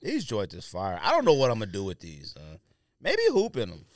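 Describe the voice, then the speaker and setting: Slangy man with a lively, swinging pitch. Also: a little fast, no echo, clean studio sound. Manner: slangy, opinionated. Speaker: podcast co-host reviewing sneakers. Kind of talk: casual unscripted banter.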